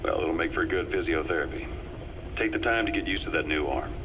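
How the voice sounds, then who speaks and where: An actor playing a composed military intelligence veteran who briefs his commander in a measured, matter-of-fact way in a video game. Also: low-pitched, muffled recording, background noise, natural voice.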